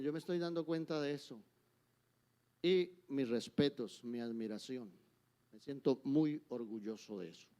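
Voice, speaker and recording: Older Colombian preacher, fairly high voice. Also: male voice. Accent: Colombian Spanish. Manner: emphatic, swinging between forceful exhortation and soft, intimate asides. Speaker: guest preacher. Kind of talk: sermon. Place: church.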